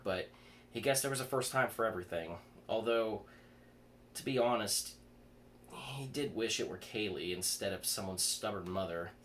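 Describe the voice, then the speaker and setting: Male horror reader, lower-pitched, some stumbles over words, faint noise behind the voice. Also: a bit bright. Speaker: author reading own fiction aloud. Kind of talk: horror story reading. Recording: clear background noise.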